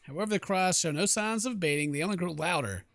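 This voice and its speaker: A man's voice: sits high, fast talker, a bit bright, no echo. A man doing playful let's play commentary, reading game text aloud with exaggerated reactions. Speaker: gaming video host.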